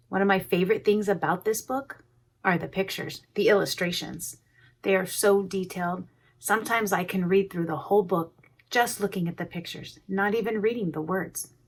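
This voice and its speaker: A woman reading a children's storybook aloud, pausing to explain things simply and expressively to young listeners. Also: medium pitch, steady tone, slightly rough. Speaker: preschool education manager reading a storybook.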